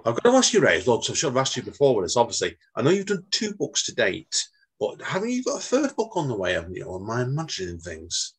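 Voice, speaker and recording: A man's voice: mid-range voice, huge pitch swings, breathy edge. A man hosting a casual podcast chat, joking and laughing as he moves things along. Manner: chatty, laughs often, quick asides. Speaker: podcast host.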